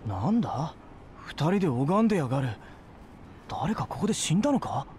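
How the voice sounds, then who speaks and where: Nervous young buddy, urgent, lively intonation, game drama, faint background ambience. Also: male voice, on the high side, moderate pace, slight background noise. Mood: watchful. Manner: urgent, cautioning. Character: anxious young male sidekick, cautious and urgent. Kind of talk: dramatic game cutscene acting.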